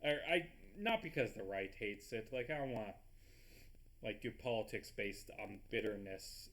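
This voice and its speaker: A man with a stuffy nose rambling excitably in casual commentary over a game recording, swearing often and tripping over his words. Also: lowish voice, normal speed. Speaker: gaming video host.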